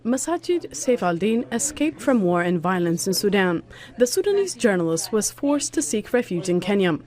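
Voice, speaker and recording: Woman narrating a news report, mid-pitched. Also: big pitch swings, moderate pace, a little mellow.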